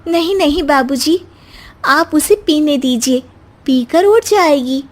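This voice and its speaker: Woman voicing a very high-pitched, naive young daughter-in-law. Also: slow pace. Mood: innocent, earnest. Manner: pleading, polite, innocent. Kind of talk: acted Hindi comic story dialogue.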